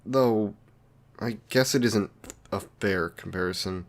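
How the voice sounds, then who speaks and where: Lonely villain , male actor, somewhat deep voice. Considerate and socially awkward, apologetic delivery for close, intimate roleplay audio. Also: a little slow. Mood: apologetic, nervous. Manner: hesitant, apologetic, polite.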